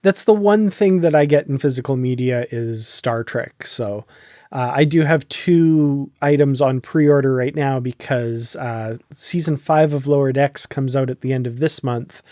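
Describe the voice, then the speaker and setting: A man's voice: medium pitch, measured pace, mellow, no room sound, clean studio sound. A man hosting a podcast in a casual, conversational style. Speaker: podcast host.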